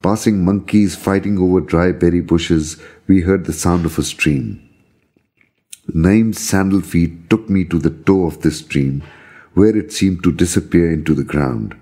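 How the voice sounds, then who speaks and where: A man narrates his own story in a very low voice with a soft, dark tone. His delivery is measured and solemn, and there is a husky grain to it as he reads. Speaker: storyteller reading own account. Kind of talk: scripted narration of a visionary journey.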